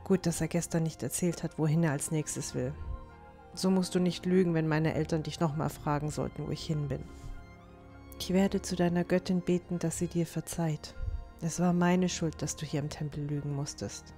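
A woman playing an earnest, gentle but resolute teenage runaway girl in an audio drama, speaking softly. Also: sits low, steady tone, moderate pace, faint music behind. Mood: grateful, resolute. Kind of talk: acted audio drama dialogue.

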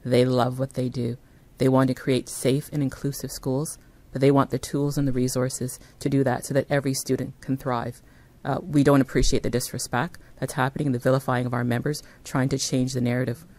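Woman union leader at a podium, steady even pitch, insistent. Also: brisk. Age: middle-aged. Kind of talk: press conference statement. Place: indoor press conference room. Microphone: podium microphones.

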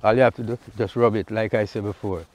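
Easygoing Jamaican man, low voice, outdoors. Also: steady tone, fast, a little mellow, poor audio. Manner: easygoing, repeats "you know" often. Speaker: vlogger. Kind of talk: casual how-to vlog. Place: outdoors by a river.

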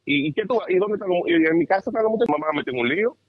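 A man's voice: high voice, expressive, rapid-fire, breathy edge, no echo. A man in an agitated, casual phone conversation. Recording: slightly noisy phone call recording.

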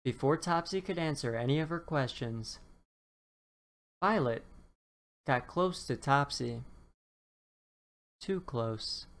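A woman narrates a children's picture book for bedtime. She speaks slowly and deliberately, in a low register that swoops expressively around key words. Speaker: children's storybook reader. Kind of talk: read-aloud bedtime story narration.

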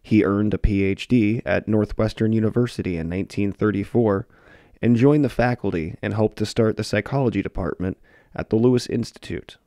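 Low gravelly guy reading a history doc script, dark tone, dry close mic. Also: brisk, no echo. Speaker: documentary narrator.

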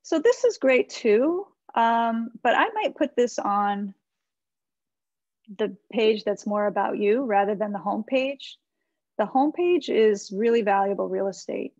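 An American woman in middle age talks conversationally, with plenty of fry in her voice. She sounds like an encouraging consultant thinking aloud as she reviews work. The sound is close and dry, slightly dulled by a video call. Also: mid-range voice, a little slow, a little mellow, no echo, highs cut off. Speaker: webinar presenter, website marketing consultant. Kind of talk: informal website critique and advice. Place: small indoor room with bookshelves. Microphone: computer mic on a video call.

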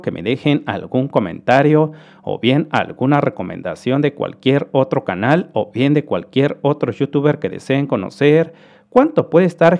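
A man's voice: mid-range voice, a little mellow. A man hosting a channel, walking viewers through stats over a screen recording in a friendly, explanatory way. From Mexico. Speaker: YouTube earnings analyst host.

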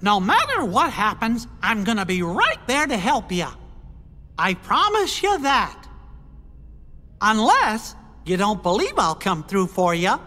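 A man voicing a plucky, loyal little cartoon mouse king in a video game, squeaky, folksy and earnest. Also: huge pitch swings, unhurried, sharp tone, heavily acted. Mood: eager, insistent. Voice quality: squeaky, high. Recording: long hall reverb, music underneath.

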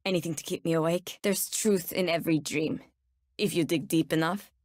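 A woman acts out a sardonic, menacing bounty hunter from a video game, a woman who wields nightmares. Her voice sits on the low side and carries a Turkish accent. Every line lands cool, deliberate and ominous, in a dry, close recording with no room around it. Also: darkish tone, no room sound, natural voice. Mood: cool menace. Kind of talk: video game character voice lines.

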